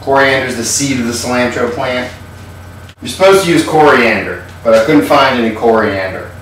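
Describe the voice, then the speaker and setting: A man's voice: mid-range voice, moderate pace, a bit bright, heavy reverb, recorded from a distance, a little hiss or hum, rough recording. A man hosting a comedic home cooking show, talking in a sardonic, irreverent way and swearing casually, in a home kitchen. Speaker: host.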